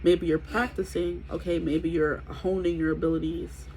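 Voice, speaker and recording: A woman's voice: lowish voice, flat delivery, moderate pace, a little mellow, slight background noise. Stuffy-nosed young woman reading tarot. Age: 20s. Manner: chatty, rambling, many fillers. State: stuffy nose from allergies. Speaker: tarot reader. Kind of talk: casual tarot reading to camera.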